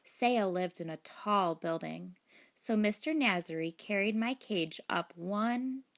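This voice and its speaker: A woman reading a children's book aloud as narrator, in a deliberate, expressive storytelling manner. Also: medium pitch. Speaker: storybook reader.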